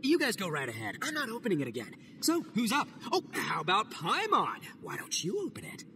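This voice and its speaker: A man voicing a loud, boastful and goofy young male oni gang boss in a game cutscene. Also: high-pitched, huge pitch swings, brisk, noisy background, exaggerated voice. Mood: swaggering. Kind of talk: video game cutscene voice acting.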